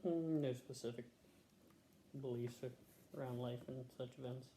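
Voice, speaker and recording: A woman's voice: extremely low pitch, steady tone, measured pace, dark tone. A middle-aged woman giving casual, laconic interview answers with a dry, joking manner. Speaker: interviewee answering health questions, a nurse. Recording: roomy echo, faint background noise.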